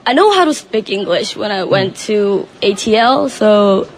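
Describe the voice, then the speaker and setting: A woman's voice: mid-range voice, animated, unhurried, slight background noise, low-quality recording. A woman singer guest on radio, shy and hesitant, giving short answers.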